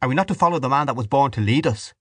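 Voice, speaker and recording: A man playing an impassioned, defiant Irish nationalist in an audiobook, with a forceful, declamatory manner. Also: mid-range voice, very fast, breathy edge, dry recording, studio quality, pitched above the performer's own voice, natural voice. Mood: indignant.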